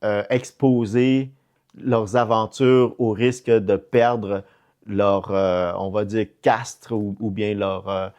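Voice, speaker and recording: A middle-aged man speaks in a low voice with a Québécois French accent. His podcast talk is casual and halting, with words often repeated while he looks for the next. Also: little pitch movement, darkish tone. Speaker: podcast co-host or guest.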